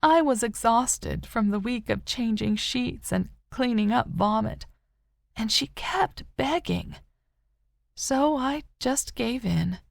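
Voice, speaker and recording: A woman narrator voicing an older mother, grieving and wistful yet still hopeful, with a soft, sorrowful, tender manner in an audiobook. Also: on the high side, lively intonation, takes it slowly, creaky, no echo, clean studio sound, voice pushed up, full character voice. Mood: guilty, regretful.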